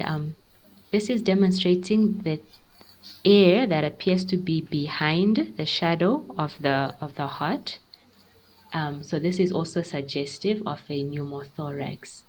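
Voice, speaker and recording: A woman's voice: deep voice, darkish tone, a little hiss or hum, poor audio. A woman giving a medical teaching presentation, explaining in a careful and somewhat hesitant way.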